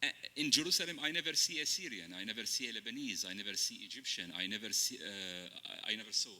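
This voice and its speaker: A man whose first language is Arabic, a writer on a panel, speaking slowly and searching for words in English. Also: fairly low pitch, pushed and bright. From Palestine. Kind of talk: panel discussion. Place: stage.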